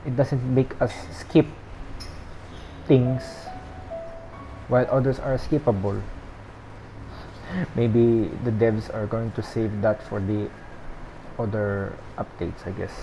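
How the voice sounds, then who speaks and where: A gaming streamer giving casual, low-key, somewhat hesitant gameplay commentary. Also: fairly even intonation, slow pace, long pauses, warm tone, soft background music. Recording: clearly noisy, ambient background.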